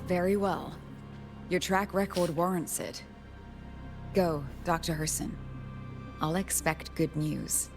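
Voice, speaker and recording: A woman playing an authoritative, composed academy superior in a video game, speaking in a formal, measured way. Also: lowish voice, fairly even intonation, music in the background.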